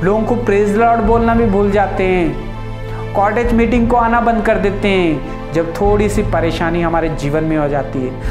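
A man in his thirties preaching with fervent energy and sweeping gestures, addressing brothers and sisters. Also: high-pitched. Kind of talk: Hindi sermon. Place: indoor studio before printed backdrop. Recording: roomy sound with music underneath.

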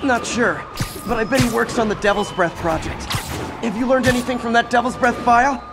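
A quippy young masked hero. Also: male voice, extremely high pitch, fairly even intonation, a little fast, music in the background. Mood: calm. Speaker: game hero. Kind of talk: video game dialogue.

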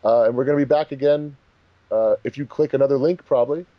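A man's voice: medium pitch, quick pace, no echo, muffled recording. A man hosting a casual group commentary, chatting informally. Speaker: host of a fan dub commentary track.

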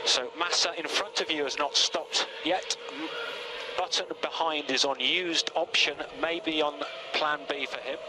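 A man working as a race engineer, giving clipped, measured briefings to a driver over team radio. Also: a little high, steady tone, heavy background noise.

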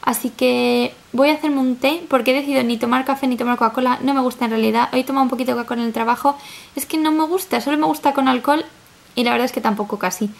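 High-pitched, creaky young Spanish woman. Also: fairly even intonation, very fast, slight background noise. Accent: Spanish from Spain. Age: 20s. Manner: rambling, chatty. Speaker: vlogger. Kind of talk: casual chat to camera. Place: small flat living room, indoors.

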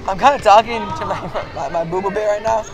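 Teen male prankster, high voice, staged phone calls over busy crowd noise. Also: brisk, music in the background. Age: teenager. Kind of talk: loud staged phone-call prank in public. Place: school lunch area and gymnasium. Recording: noisy echoing public space, crowd chatter.

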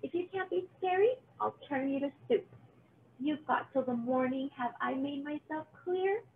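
A woman's voice: high-pitched, normal speed, narrow, tinny audio, slight background noise. A woman voicing a wicked, bossy old storybook witch who snaps and screeches her orders. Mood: menacing.

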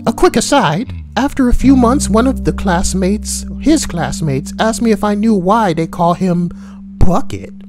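Woman with lively, crude comic delivery. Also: medium pitch, measured pace, over music. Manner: crude, sweary, joking asides. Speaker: online narrator and commentator. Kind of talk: reading forum posts aloud with crude comic commentary.

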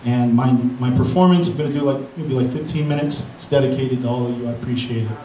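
A man's voice: mid-range voice, fast talker, heavy background noise. A man, a beat producer, giving a rambling, grateful and self-deprecating speech on a mic at a live event. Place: event hall with an audience. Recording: echoey hall mic from far off.

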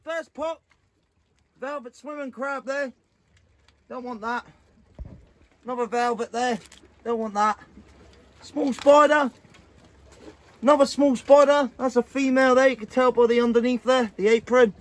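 A man's voice: very high-pitched, fairly even intonation, unhurried, pauses often. A man, a fishing vlogger, chatting casually and matily to his viewers in slangy everyday speech, recorded outdoors on a small boat. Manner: chatty, matey, slangy, often addressing viewers as guys. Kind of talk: casual vlog commentary to viewers. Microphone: built-in camera or phone mic, few feet away. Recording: outdoor action camera and phone, noisy. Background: faint boat and outdoor noise.

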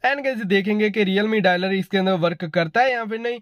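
A man's voice: high-pitched, a little fast, no echo. A man hosts a casual tech review. He talks straight to his viewers and circles back over his points in a repetitive way. The sound is dry and close, with little room on it. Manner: repetitive, addresses viewers as guys. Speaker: tech reviewer, video host. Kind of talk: casual phone update review narration.

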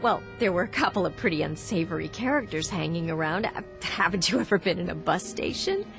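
A woman's voice: lowish voice, expressive, brisk, gravelly. An actress playing a distraught, pleading yet defiant young woman traveler in a radio drama. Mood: defensive, pleading. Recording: music bed under radio drama.

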